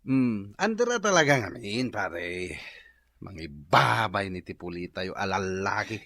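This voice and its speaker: Swaggering drinker, animated and bright. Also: male voice, lowish voice, a little slow, creaky, no echo, full character voice. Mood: boastful. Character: hard-drinking, boastful husband and father, slack and unrepentant. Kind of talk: Ilocano comedy radio drama acting.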